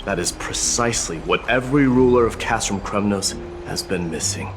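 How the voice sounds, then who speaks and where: Man as a proud warrior demigod, low voice, lively intonation, formal and resolute, fantasy game dialogue. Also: normal speed, natural voice. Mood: earnest, solemn. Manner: formal, solemn, boldly declarative. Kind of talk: dramatic video game voice acting. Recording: loud music behind the voice. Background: game music.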